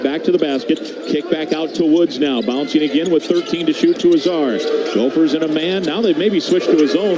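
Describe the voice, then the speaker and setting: A man calls a basketball game live as a radio-style play-by-play announcer. His voice sits a little high, and he describes the action in short, clipped bursts. Clear ambient noise from the arena runs behind him. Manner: clipped running descriptions, rising on big plays. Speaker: play-by-play commentator.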